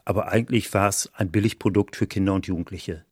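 A man's voice: low-pitched, fairly even intonation, quick pace, a little mellow, breathy edge, dry recording, clean studio sound. A man being interviewed, explaining things in a reflective, informative way.

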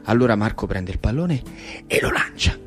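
A man's voice: on the low side, lively intonation, normal speed, fairly bright tone, faint music behind. A man with a Roman accent narrating theatrically for radio in short, repeated dramatic phrases. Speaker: actor-narrator.

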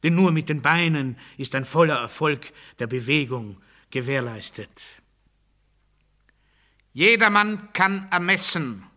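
An actor playing a pompous authoritarian demagogue in a satirical radio play, giving a declamatory, bureaucratic and commanding speech. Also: male voice, fairly high pitch, bright, forceful tone. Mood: proud, doctrinaire. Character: pompous authoritarian male demagogue drilling the masses.

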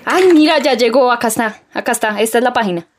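A woman's voice: a little high, fast talker, background noise, natural voice. A woman playing an eager, fretting young girlfriend with a Colombian accent in a radio soap. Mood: excited.

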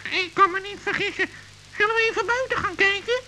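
Chatty young rabbit: extremely high, sharp voice, wary and emphatic, children's radio drama. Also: female voice, a little fast, heavily acted. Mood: worried. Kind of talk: children's radio play acting. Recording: hissy old radio recording.

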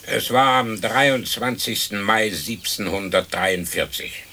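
An actor playing the plain-spoken ghost of a hanged horse thief in a German radio play, deliberate and pointed. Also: male voice, lowish voice, sharp tone, slight background noise, heavily acted. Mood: reproachful.

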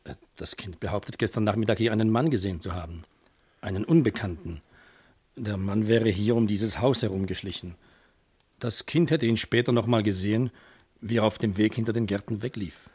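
A low, husky male voice as a junior policeman in a radio crime play. He reports dutifully and factually, deferring to his superior. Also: a little fast, darkish tone. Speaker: actor. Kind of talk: German radio crime drama acting.

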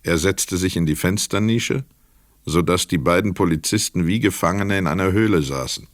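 A man narrating a scripted literary radio play in a measured, sober way. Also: low-pitched, natural voice.

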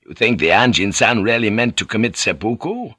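A man's voice: lowish voice, animated, a little fast, sharp tone, slightly rough, dry recording, studio quality, exaggerated voice. A man voicing an old warlord who talks bluntly, testily and wearily. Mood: gruff. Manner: blunt, testy, weary. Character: old male warlord, shrewd, gruff and testy. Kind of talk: audiobook character voice.

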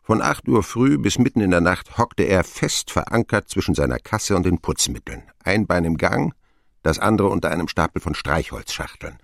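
A man's voice: deep voice, normal speed, creaky, no room sound, studio quality. An actor playing a middle-aged man who wryly recalls his boyhood as narrator of a radio play. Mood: reminiscing. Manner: wry, expressive storytelling. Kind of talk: scripted first-person narration, radio play.

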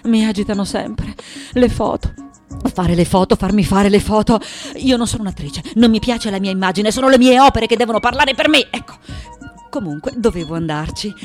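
Animated elderly woman artist played by an actress. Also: medium pitch, soft background music, close to an everyday voice. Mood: agitated. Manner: confessional, self-correcting, emphatic repetitions, dramatic pauses. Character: elderly woman sculptor, candid, restless, irreverent, vulnerable. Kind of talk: theatrical radio monologue.

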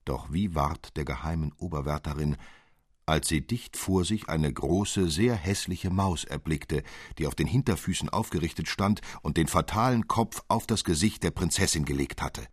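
A man narrating a literary fairy tale as an audiobook reader, articulate, dramatic and expressive. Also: rumbling low, normal speed, breathy edge, no echo, clean studio sound.

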